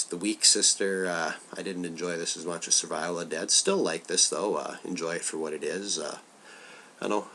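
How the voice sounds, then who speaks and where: Deep, stuffy-nosed man with a bright edge. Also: slight background noise. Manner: loose and rambling, heavy on filler words, swears casually. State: stuffy nose from a cold. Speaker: horror film reviewer. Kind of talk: casual rambling film review.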